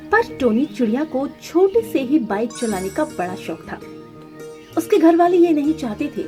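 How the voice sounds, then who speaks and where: A woman's voice: high-pitched, mellow. A woman narrator reading a scripted children's cartoon story.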